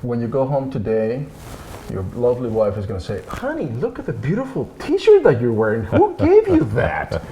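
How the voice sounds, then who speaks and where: A man's voice: medium pitch, moderate pace, slight background noise, poor audio. A man hosting an interview show, talking with enthusiasm and joking with his guest. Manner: enthusiastic, jokey, animated. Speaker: podcast host. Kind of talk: casual interview.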